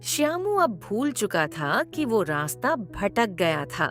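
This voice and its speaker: A woman narrating a cartoon story in an expressive, measured storytelling manner. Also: mid-range voice, soft background music.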